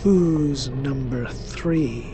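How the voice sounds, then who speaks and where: A man's voice: mid-range voice, extremely slow, creaky, music in the background. A man voicing a commanding, vengeful witch queen, an old crone, in a horror tale. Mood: suspicious questioning.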